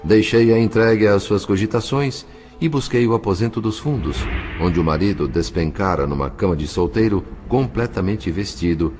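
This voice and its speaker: A man's voice: low voice, noisy background. A male actor narrating solemnly and dramatically as a spirit in a Brazilian audio drama, with a Brazilian accent.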